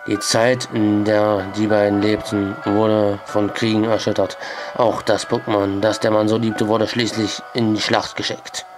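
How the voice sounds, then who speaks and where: Slow, hesitant young man with a cold. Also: fairly low pitch, flat delivery, music in the background. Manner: hesitant, many filler "ja"s, slow and deliberate. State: has a cold, voice a bit strained. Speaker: let's play host. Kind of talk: let's play commentary, reading game text aloud. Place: small bedroom with posters. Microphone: headset.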